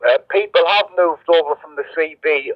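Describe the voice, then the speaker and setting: A man's voice: fairly high pitch, normal speed, no echo. A man chatting informally in radio slang as a hobbyist, heard through a CB radio. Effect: CB radio transmission. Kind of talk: casual radio chat. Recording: muffled CB radio link.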